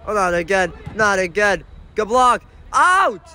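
Man, high-pitched, very animated, bright tense voice, amateur match commentary, sideline phone recording, faint background noise. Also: unhurried. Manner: animated, shouting at the referee and players. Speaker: sideline commentator and teammate filming. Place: indoor sports dome, large. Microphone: phone camera held by the speaker.

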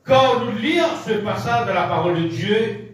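A man's voice: high-pitched, moderate pace. A middle-aged man with a Mauritian accent preaching a sermon in short, repeated, rhythmic phrases, recorded from a distance in a small indoor room. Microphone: camera at a distance across the room. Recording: echoey room picked up from far off.

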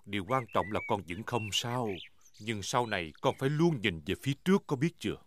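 A man's voice: on the low side, expressive, a little fast, slight background noise, lightly acted. A man voicing a jolly, reassuring father pig in a dubbed preschool cartoon, hearty and playfully grand, with music behind him. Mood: gentle.

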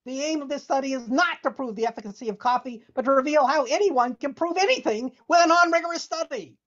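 Halting reader on a dull video call. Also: female voice, high voice, fairly bright tone. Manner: halting, loses place, repeats phrases. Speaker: dramatic reader at a comedy science session. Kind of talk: reading a research paper aloud.

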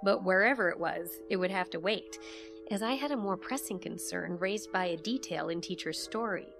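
A woman acting as an investigator who narrates a mysterious case in a measured first-person audio drama. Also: fairly low pitch, vocal fry, over music. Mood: pensive, intent.

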